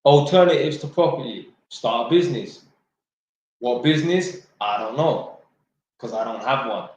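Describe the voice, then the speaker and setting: A man's voice: medium pitch, expressive, normal speed, a little mellow. A man reads out viewer questions and answers them bluntly in casual, slangy chat, swearing freely and joking. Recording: very echoey room.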